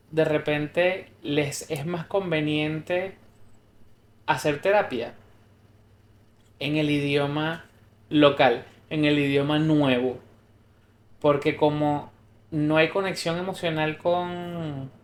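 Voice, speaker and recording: A man co-hosting a podcast, talking casually and thoughtfully, explaining ideas through examples and restarts. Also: a little high. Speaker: podcast co-host. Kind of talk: casual conversational podcast chat. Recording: roomy echo.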